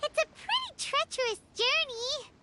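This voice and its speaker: A woman voicing a small, childlike, anxious and excitable fairy companion in a video game, with a squeaky voice over music. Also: normal speed, heavy background noise. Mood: worried. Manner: refers to herself in third person. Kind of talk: video game character acting. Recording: loud game music underneath.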